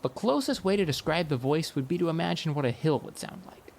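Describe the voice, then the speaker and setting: A man narrating a first-person comic fantasy audiobook in a wry, expressive storytelling manner. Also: fairly high pitch, brisk, vocal fry, very noisy.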